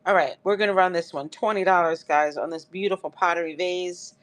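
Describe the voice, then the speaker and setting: Live-sale host, woman, dry crackly throat, chatty and upbeat, lowish voice. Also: steady tone, brisk. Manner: chatty, upbeat, addresses viewers by name. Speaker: live-stream seller. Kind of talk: live auction selling chat.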